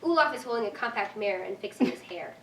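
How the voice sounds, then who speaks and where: A woman's voice: mid-range voice, lively intonation, fast talker. A woman reading stage directions as scripted narration at a live staged reading. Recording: echoey room, distant mic, faint noise.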